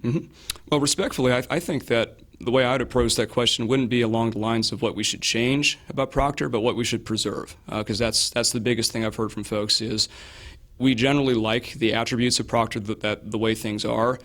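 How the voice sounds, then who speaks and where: A hoarse man answering interview questions as a local candidate, measured and hedging, with frequent fillers and restarts. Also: medium pitch, steady tone, a bit bright. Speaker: political candidate.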